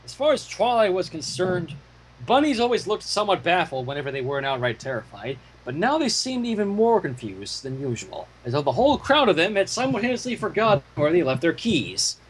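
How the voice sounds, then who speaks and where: Husky, animated man reading a story aloud. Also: high voice, brisk, low-quality recording. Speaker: fan fiction reader. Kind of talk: expressive storybook-style narration.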